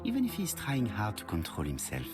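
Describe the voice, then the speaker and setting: A man's voice: fairly low pitch, wildly swooping pitch, brisk, over music. A man playing a courteous, self-assured older detective in a video game, with a French accent and formal, precise phrasing. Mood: observant, musing. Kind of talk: video game dialogue and inner monologue.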